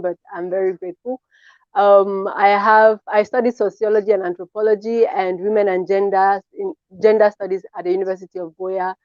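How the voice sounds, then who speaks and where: A woman's voice: mid-range voice, dry recording. A woman from Cameroon with a Cameroonian accent, casually introducing herself as a guest on a talk show. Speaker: guest fashion designer. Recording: dull, lo-fi call audio.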